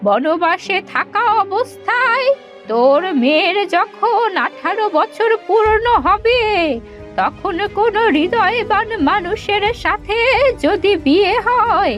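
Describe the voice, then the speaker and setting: A woman voicing a spiteful old witch in a fairy-tale cartoon, scolding and menacing in drawn-out phrases. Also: very high-pitched, lively intonation, fairly bright tone, heavily acted. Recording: music behind the voice. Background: music.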